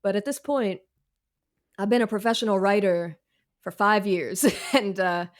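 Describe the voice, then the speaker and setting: A woman talks as a guest writer in a conversational interview. She is frank and quick to poke fun at herself, using plenty of casual slang. Her pitch sits in the middle for a woman. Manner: candid, self-deprecating, slangy.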